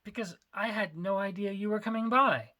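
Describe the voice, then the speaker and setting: A man acts out a nervous little male art dealer from a storybook thriller. The voice is high, bright and forceful, a cheat who blurts everything out in a frantic, panicky way. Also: normal speed. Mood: defensive, nervous. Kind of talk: dramatic story reading.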